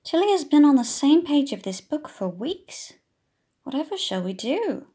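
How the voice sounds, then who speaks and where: A woman's voice: high voice, very animated, normal speed, warm tone, voice pushed up. A woman voicing a concerned, fretful female schoolteacher in a children's storybook, exclaiming as she fusses over a pupil. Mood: worried.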